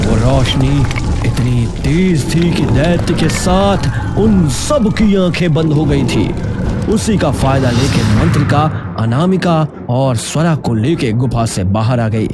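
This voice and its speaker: A man's voice: mid-range voice, takes it slowly. A man narrating a children's story in a dramatic, scripted style. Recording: loud music under the voice.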